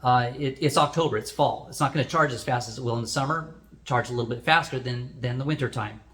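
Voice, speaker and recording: A man's voice: medium pitch, fast, a bit bright. A man hosting a product review, explaining things in a plain, unscripted way as he demonstrates.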